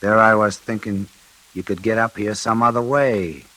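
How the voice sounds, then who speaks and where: An actor playing a quiet, menacing night elevator man in an old-time radio suspense drama, speaking slowly and insinuatingly. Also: on the low side, steady tone, low-quality recording. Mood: quietly menacing. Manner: slow, insinuating.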